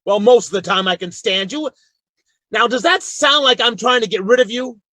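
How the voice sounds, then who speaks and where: A man's voice: very high voice, normal speed, bright, forceful tone, no echo. A man playing a firm, loyal Old West marshal in a radio western, speaking in a frank, folksy, commanding way. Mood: firm, earnest. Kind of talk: old-time western radio drama acting.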